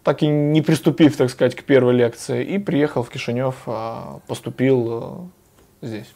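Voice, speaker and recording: A man, a studio interview guest who talks in a measured way, pausing often. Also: mid-range voice, fairly even intonation, creaky, mellow. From Moldova. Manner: measured, hesitant with many filler pauses. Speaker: guest, former local official. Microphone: lapel.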